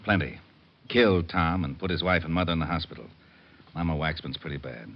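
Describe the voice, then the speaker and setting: Very deep, gruff police lieutenant. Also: male voice, brisk, slight background noise. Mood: weary, grim. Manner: gruff, matter-of-fact. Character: gruff, weary male homicide police lieutenant. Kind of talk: 1940s-50s radio detective drama acting. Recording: muffled old radio recording.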